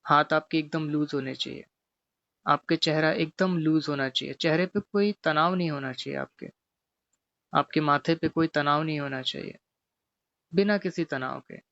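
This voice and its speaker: Man, meditation teacher, creaky fry in the voice, repetitive step-by-step guidance, dry and slightly muffled recording. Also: a little high, moderate pace, no echo. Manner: gently repetitive step-by-step instruction. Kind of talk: guided meditation instruction.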